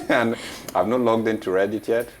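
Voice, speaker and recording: A man co-hosting a studio show in casual banter, joking and quick to laugh. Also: medium pitch, wildly swooping pitch. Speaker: studio co-host. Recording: faint background noise.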